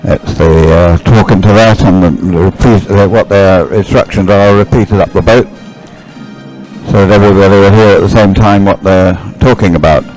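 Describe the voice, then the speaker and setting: A male race commentator with a fairly low voice speaks live over faint music. His delivery is descriptive and somewhat halting, with corrections made along the way. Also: fairly even intonation, darkish tone, rough recording.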